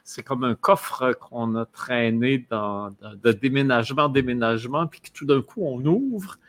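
A man's voice: medium pitch, unhurried, fairly bright tone. A man hosting a podcast interview, musing with many hesitations. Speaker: podcast host. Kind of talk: interview conversation.

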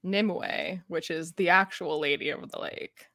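A woman with a fairly low voice, recorded close and dry, co-hosts a book podcast. She explains things analytically and conversationally, with a wry touch. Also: unhurried, vocal fry, a bit bright, no room sound. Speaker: podcast co-host. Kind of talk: casual book discussion.

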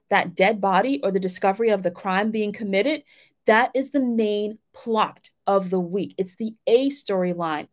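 Woman coaching writers in casual, direct style. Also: medium pitch. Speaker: writing coach and host. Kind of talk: casual instructional talk to listeners.

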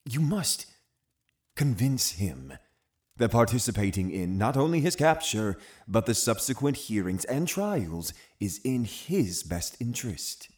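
An actor playing a cunning, zealous Puritan uncle in an audio drama, commanding and condescending with deliberate phrasing. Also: male voice, mid-range voice, very animated, darkish tone. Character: cunning, zealous Puritan uncle, a menacing witch-hunting authority. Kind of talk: full-cast audio drama acting.

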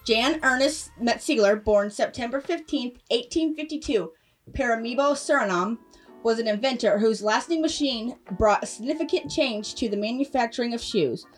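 A woman with a somewhat high voice reads a prepared factual text. The mic is a little distant and music plays faintly behind her. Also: moderate pace. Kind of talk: scripted read-aloud segment.

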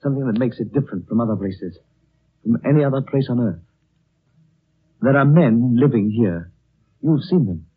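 A dreamy, world-weary gentleman in a 1930s radio play. He speaks solemnly and with careful weight, slightly husky, through a thin phone-like broadcast. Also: mid-range voice, lively intonation. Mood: hushed, insistent. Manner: slow-weighted, solemn, measured.

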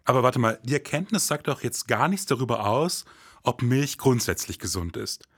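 Easygoing, idealistic noir detective for audio drama. Slightly rough voice, colloquial, close dry sound. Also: male voice, mid-range voice, lively intonation, moderate pace, fairly bright tone, no room sound. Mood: casual, questioning. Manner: casual, colloquial, questioning. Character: laid-back male detective, idealistic, hard-boiled noir narrator.

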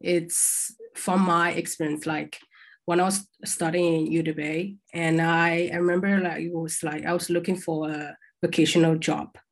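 A young woman from China speaks on an online panel in a lowish voice with heavy vocal fry. Her delivery is chatty, and "like" comes up constantly. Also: flat delivery, moderate pace. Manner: chatty, frequent "like" fillers. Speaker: alumni panellist, former international student. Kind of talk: panel discussion answer.

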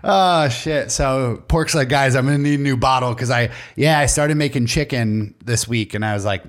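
A man's voice: mid-range voice, normal speed. A man co-hosting a comedy podcast in casual, joking banter, swearing freely as he talks. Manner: joking, profane.

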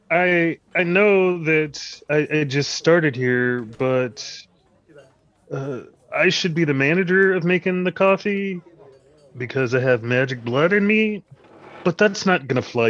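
Man playing a high-voiced, sarcastic condescending boss. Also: lively intonation, a little slow, a bit bright, highs cut off. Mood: dismissive. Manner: sarcastic, stammering, repeats himself. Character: condescending male office boss at a wizard outpost. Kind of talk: improvised comedy sketch.

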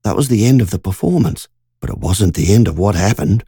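A woman's voice: rumbling low, animated, fairly quick, dark tone, breathy edge, no room sound, clean studio sound, heavily acted. A narrator voicing Margie, a shaken country woman who tells a frightening story in an urgent, breathless rush. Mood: indignant.

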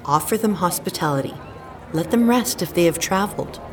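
A woman plays a nurturing mother in an audio drama, her voice on the low side. She coaxes and instructs in a natural, everyday way. Clear ambient noise sits behind her. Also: lively intonation, fairly quick, a little mellow, close to an everyday voice. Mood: tender, instructive. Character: caring, gently prodding mother of a capricious girl.

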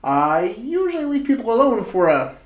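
Nosy know-it-all bellboy played by a man, theatrical and meddling, big pitch swings, roomy radio-play sound. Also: very animated, moderate pace. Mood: huffy. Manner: officious, pestering, theatrical. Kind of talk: retro radio comedy-mystery acting. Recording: roomy, echoing amateur radio-play recording.

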